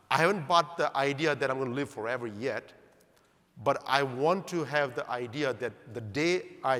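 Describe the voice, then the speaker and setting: A middle-aged man from Zambia giving an animated conference talk, often putting rhetorical questions to the audience. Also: medium pitch. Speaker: speaker at a longevity conference. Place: large conference hall. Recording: long hall reverb.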